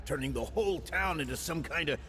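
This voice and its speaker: A man playing a tough, sardonic gangster hero in a video game cutscene, brash, slangy and gravelly. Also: a little high, expressive, fairly quick, a bit bright. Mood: incredulous. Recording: music behind.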